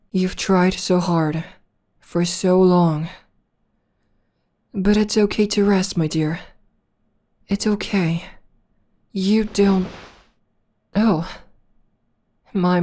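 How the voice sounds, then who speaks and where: A woman acts out a female villain caring for her heroic nemesis in a soft ASMR roleplay. Her voice is mellow and fairly low, and she takes her words very slowly. She soothes and teases, lingering on endearments with possessive tenderness. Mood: tender, consoling. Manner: soothing, drawn-out, teasing endearments.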